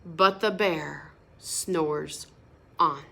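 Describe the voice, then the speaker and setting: A woman reading a picture book aloud to children in a sing-song, expressive way, pausing to explain words and ask questions. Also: sits low, vocal fry. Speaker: storybook reader.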